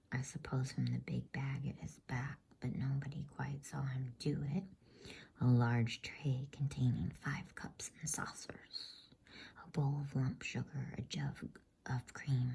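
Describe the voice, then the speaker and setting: A woman reads a bedtime story in a hushed ASMR whisper. Her voice is low, very breathy and mellow. The delivery is gentle and soothing. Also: a little slow. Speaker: host and bedtime story reader.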